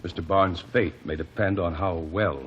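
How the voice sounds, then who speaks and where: A man's voice: low-pitched, steady tone, moderate pace, close to an everyday voice. A man playing a methodical courtroom advocate in a 1949 radio drama, formal and probing as he questions witnesses, on a dull, faintly hissy recording. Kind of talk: 1940s radio drama acting.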